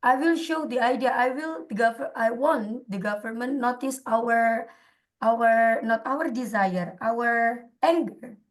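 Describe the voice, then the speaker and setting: Indonesian woman w accent, kinda high voice, giving opinion on online call, stops to hunt for words. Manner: halting, searching for words, repeats phrases. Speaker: participant in an online discussion. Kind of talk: informal spoken opinion in an online group.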